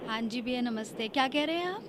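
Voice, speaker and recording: Prank-call comedy: suspicious wife, high pitch, pointed politeness, clearly noisy line. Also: male voice, animated, quick pace. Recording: noisy phone-call recording.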